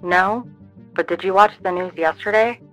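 Woman playing a bright-voiced friend on a call. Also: lowish voice, normal speed, soft background music, close to an everyday voice, low-quality recording. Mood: eager. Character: casual, concerned female friend on a phone call. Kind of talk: naturalistic audio drama dialogue.

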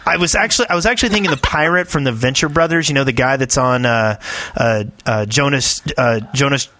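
A man's voice: mid-range voice, a little fast, pushed and bright, no room sound. A man hosting a podcast, chatting casually with the group. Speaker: podcast host.

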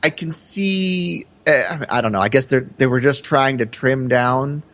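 A man's voice: medium pitch, a little slow, a bit bright. A man co-hosting a podcast, talking about film in a casual, hesitant, musing way. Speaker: podcast co-host. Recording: slightly dull, lo-fi audio.

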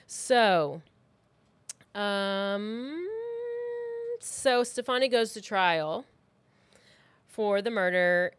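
A woman's voice: medium pitch, drawn-out words, long pauses, clean tone, no room sound. A woman co-hosting a true crime podcast, telling the story informally from her notes in a conversational way.

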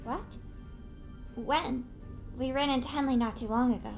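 A skeptical, higher-voiced young survivor. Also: female voice, normal speed, faint music behind. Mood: wary. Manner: questioning, snarky. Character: skeptical young woman, wary and sarcastic survivor. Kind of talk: audio drama acting.

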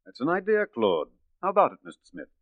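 An actor playing a genteel, condescending swindler who poses as a philanthropist in a 1940s radio drama. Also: male voice, fairly high pitch, huge pitch swings, fast, dry recording. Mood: suave, brisk. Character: smooth, condescending gentleman swindler posing as philanthropist. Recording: tinny, muffled 1940s radio recording.

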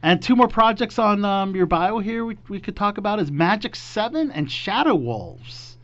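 A man's voice: sits high, lively intonation, moderate pace, a bit bright, lo-fi sound. A man hosting an interview, asking questions and giving short enthusiastic reactions. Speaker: interview host. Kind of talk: interview chat.

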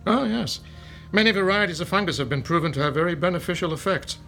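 A man's voice: high voice, expressive, fast talker, vocal fry, sharp tone, faint music behind, full character voice. An actor playing a male professor who lectures patiently about fungi in an audio drama. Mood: lecturing. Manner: lecturing, explanatory. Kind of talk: modern audio drama acting.

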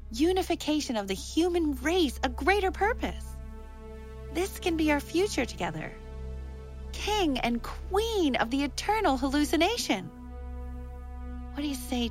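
A woman's voice: high voice, sing-song, a little slow, long pauses, soft background music. A woman playing a seductive, persuasive bug hallucination living inside a man's head in an audio drama. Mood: coaxing, grandiose. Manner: coaxing, persuasive, grandiose. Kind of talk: scripted audio fiction acting.

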